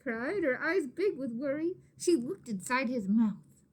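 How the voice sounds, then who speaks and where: A woman reading a story aloud to children expressively, with dramatic emphasis and hushed asides to her listeners. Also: high-pitched, very animated, measured pace. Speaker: teacher or reader of a children's story.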